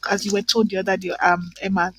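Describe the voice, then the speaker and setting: Husky-voiced woman making her point. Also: mid-range voice, level tone, quick pace, fairly bright tone, poor audio. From Nigeria. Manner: emphatic, frequent "right?" and "you know". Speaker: guest. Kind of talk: casual conversation.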